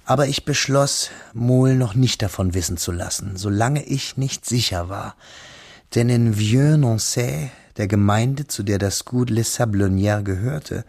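A man playing a shy, earnest young Frenchman who narrates his memories in a reflective, measured, literary radio drama style. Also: medium pitch, darkish tone, slightly rough, no echo. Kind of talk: scripted first-person radio drama narration.

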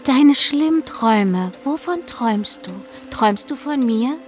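A woman playing a dead little sister in a radio drama, eerily calm, probing and accusing. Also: sits high, a little slow, faint music behind. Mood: eerie curiosity. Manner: probing, taunting, insistent.

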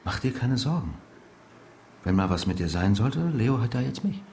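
Menacing stranger, volatile range. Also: male voice, fairly low pitch, expressive, normal speed. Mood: quietly threatening. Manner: taunting, interrogating, swings between hushed and explosive. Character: menacing, manipulative stranger, cold and mocking, volatile. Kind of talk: German radio drama acting.

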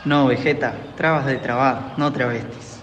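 A man's voice: medium pitch, fairly even intonation, measured pace, fairly bright tone, natural voice. A teenage boy playing a laid-back, teasing friend in an amateur school radio drama. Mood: friendly, teasing. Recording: echoey hall with background noise.

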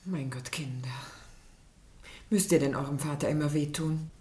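A woman's voice: low-pitched, moderate pace, noisy background, close to an everyday voice. An actress playing a dutiful, reproachful woman in a family radio drama, earnest and admonishing. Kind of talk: German radio play acting.

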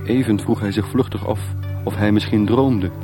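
A man's voice: lowish voice, fairly even intonation, moderate pace. A man narrating a radio play as scripted, dramatic storytelling. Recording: music bed behind. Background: music.

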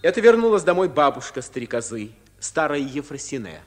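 An actor narrating a village comedy radio play in scripted 1950s storytelling style. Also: male voice, a little high, sing-song, normal speed. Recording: noisy 1950s radio recording.

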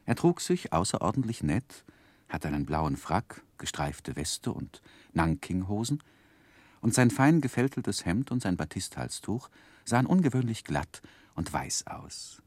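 Reflective storyteller in vintage radio drama; low-pitched, very melodic delivery, earnest and carefully phrased. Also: male voice, very animated, a little slow, a little mellow, no echo, exaggerated voice. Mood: reflective, tender. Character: earnest gentleman recounting his boyhood, tender and reflective. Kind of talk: literary first-person narration in 1960s German radio drama.

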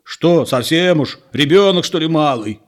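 A man voicing a gruff, exasperated village man in an audiobook, blunt and sarcastic as he scolds. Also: medium pitch, moderate pace, darkish tone. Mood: mocking.

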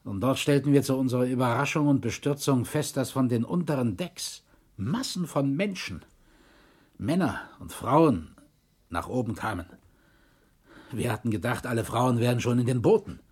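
A colonel shipwreck survivor in a radio docudrama, medium male voice, formal n measured, kinda shaken but composed. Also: medium pitch, animated, a little mellow, breathy edge. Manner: measured, formal, sober witness testimony. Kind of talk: documentary radio drama testimony.

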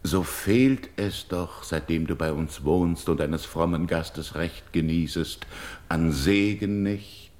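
Grave, deep-voiced grieving old king. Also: darkish tone, reverberant. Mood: firm, earnest. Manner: solemn, measured, declamatory. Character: grieving, proud older king, childless widower-like ruler seeking a bride. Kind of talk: classical verse radio drama.

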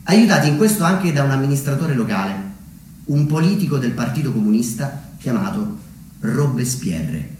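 A man delivering a scripted theatrical monologue as a storyteller, measured, with deliberate dramatic pauses. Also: mid-range voice, sing-song, darkish tone. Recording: very echoey room, distant mic, slight noise.

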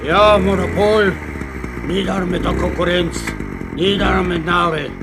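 High, gravelly, blustering Viennese innkeeper. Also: male voice, steady tone, measured pace, heavy background noise. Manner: declaiming slogans. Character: blustering, scheming Viennese innkeeper obsessed with crushing competition. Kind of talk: radio play acting.

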